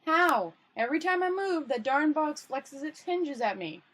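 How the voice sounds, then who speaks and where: A woman's voice: sits high, very animated, a little slow, a bit bright, pitched above the performer's own voice, heavily acted. A woman voicing an irritable, hopeless male failed wizard in a grumbling, fretful storybook read-aloud. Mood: exasperated.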